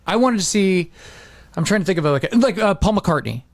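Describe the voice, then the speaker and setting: A man's voice: sits high, expressive, normal speed, no room sound, clean studio sound. A middle-aged man chatting casually on a podcast in a rambling, blunt way, with some swearing. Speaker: podcast host. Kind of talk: casual chat.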